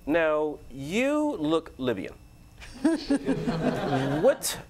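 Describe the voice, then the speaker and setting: A man's voice: fairly high pitch, wildly swooping pitch, extremely slow, a little mellow. A man hosting a joking studio panel chat, teasing his guests and laughing often. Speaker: panel show host.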